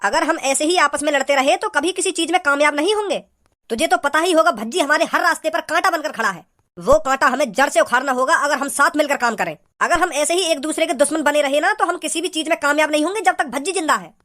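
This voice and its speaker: A performer voicing a menacing, scheming male cartoon gangster, speaking in deliberate, boastful threats. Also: high voice, fairly bright tone. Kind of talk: cartoon villain dialogue. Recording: music behind the dialogue.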